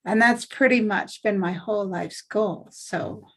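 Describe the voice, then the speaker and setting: A woman's voice: medium pitch, unhurried. A woman playing an earnest, conflicted young woman in a dramatic script table read, speaking in a heartfelt, plain-spoken way. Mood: pained, earnest.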